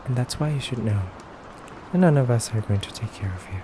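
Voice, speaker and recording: A man playing a stern but courteous femboy vampire interrogator in a soft, deliberate ASMR roleplay, often near a whisper. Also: mid-range voice, animated, slight background noise, lightly acted. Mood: stern warning.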